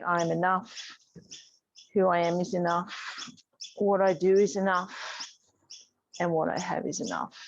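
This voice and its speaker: A woman teaching a yoga class, giving soothing, unhurried guidance with pauses between instructions. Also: lowish voice, a little hiss or hum. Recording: dull, muffled lo-fi sound.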